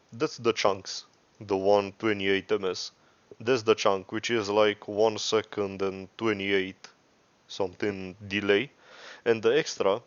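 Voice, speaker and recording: A man with a Romanian accent giving a casual, unscripted software tutorial, rambling and hesitant, often correcting himself. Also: on the low side, clean tone, dry recording. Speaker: tutorial host. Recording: dry, dull lo-fi microphone.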